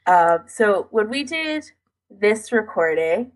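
A woman's voice: medium pitch, very animated, slow, rough recording. A woman co-hosting a podcast in casual chat, recounting things in detail with a self-deprecating streak. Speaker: podcast co-host.

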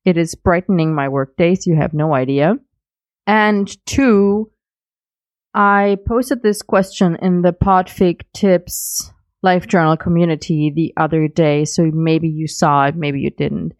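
Slow-paced, lowish woman, close and dry. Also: fairly even intonation, no echo. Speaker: podcast contributor leaving recorded comments. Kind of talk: casual spoken commentary.

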